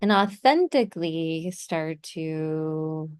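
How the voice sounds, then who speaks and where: A woman leading a guided meditation in a soothing, gently instructive way, pausing between short phrases. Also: low-pitched, expressive, clean tone.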